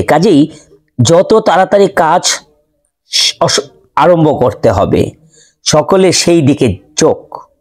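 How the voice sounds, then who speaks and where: A man's voice: on the high side, darkish tone. A man reading scripted narration as the host of an audio story.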